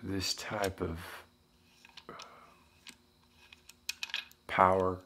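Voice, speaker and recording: A man playing a world-weary, philosophical former detective with a Southern American accent, musing haltingly in an ASMR roleplay monologue. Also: low voice, monotone, sharp tone, a little hiss or hum, heavily acted. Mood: brooding, philosophical. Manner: deliberate, halting, musing, intellectual.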